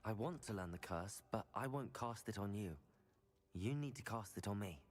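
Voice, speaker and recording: A man playing a calm, earnest teenage student wizard hero in a scripted video game. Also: on the low side, normal speed, soft background music, lightly acted. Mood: resolved.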